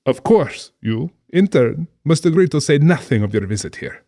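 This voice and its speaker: An actor playing a cultured, genially menacing general and big-game hunter in a dramatized audiobook, genteel, theatrical and condescending. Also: male voice, on the high side, sing-song, moderate pace, dark tone, no echo, clean studio sound. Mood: gleeful, menacing.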